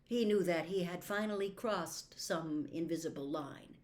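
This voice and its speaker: A woman narrating a novel aloud as a storyteller, with an expressive, dramatic delivery. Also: on the low side, unhurried.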